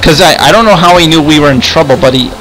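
Man playing a stammering, higher-voiced young adventurer. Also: fast, fairly bright tone, lightly acted, rough recording. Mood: explaining, curious. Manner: hesitant, repetitive, stammering delivery. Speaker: roleplay video host and player. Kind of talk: improvised Minecraft roleplay.

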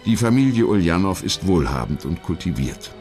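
A man reading scripted documentary narration in a measured, dramatic storytelling manner. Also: deep voice, very animated, darkish tone, soft background music.

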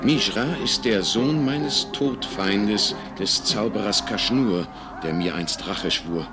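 A man's voice: on the low side, slow, over music. A man voicing a noble, resolute caliph turned stork, solemn and commanding, in a children's puppet play. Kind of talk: German children's puppet theatre acting.

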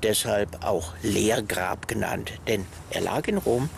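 A man's voice: lowish voice, takes it slowly, vocal fry. A man explaining a monument unscripted as an expert guide, in a noisy location recording.

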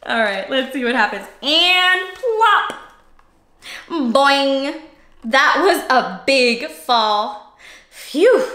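Animated, high-voiced young woman storyteller. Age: young adult. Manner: animated, exclamatory, expressive with gasps and questions. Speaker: children's read-aloud host. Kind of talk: interactive picture-book storytelling for young children. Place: small cozy indoor room. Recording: roomy indoor echo.

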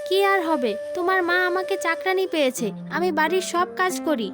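A woman's voice: very high voice, fairly quick, over music. A woman voicing an overworked, dutiful young village daughter-in-law in a cartoon family drama. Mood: aggrieved.

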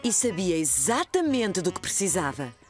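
A woman with a European Portuguese accent narrating a children's cartoon like a gentle storybook reader. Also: on the low side, big pitch swings, normal speed, faint music behind. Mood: storytelling.

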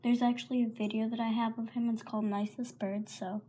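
A woman's voice: on the high side, level tone, a little fast, warm tone, a little hiss or hum. A teenage girl with a sore throat, rambling and apologizing in a casual pet vlog, often half-whispering, filmed on a handheld phone at home. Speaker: vlogger. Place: carpeted home room, indoors. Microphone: handheld phone camera.

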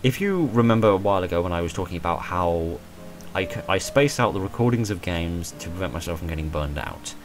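Brief: young man, deep and hoarse, halting self-deprecating monologue, faint music underneath. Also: expressive. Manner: hesitant, self-deprecating, repeats words. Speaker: channel creator. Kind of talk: rambling personal monologue. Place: small room at home.

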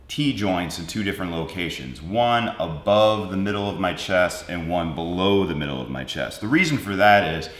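A man's voice: low voice, fairly bright tone. A man in his forties, a welding instructor giving a practical step-by-step demo in a large indoor workshop. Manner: practical, direct, explains step by step to the viewer. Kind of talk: hands-on teaching demo. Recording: roomy workshop echo.

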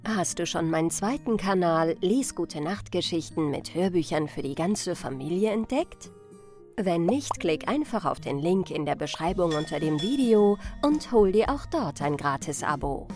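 A woman narrating a bedtime fairy tale, telling it gently and expressively as a storyteller. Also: fairly low pitch, lively intonation, normal speed, over music. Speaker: storyteller, channel host.

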